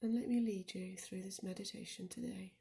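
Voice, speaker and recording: Soft, mellow woman guiding meditation, slightly creaky. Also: mid-range voice, fairly even intonation. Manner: soft, soothing, often breathy and near-whispered; slow and deliberate with gentle pauses. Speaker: meditation guide. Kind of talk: guided body scan meditation.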